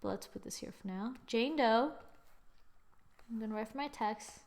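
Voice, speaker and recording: A woman hosting a screen-recorded software tutorial, chatting as she works and correcting herself with little exclamations. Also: fairly high pitch, normal speed. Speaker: tutorial host.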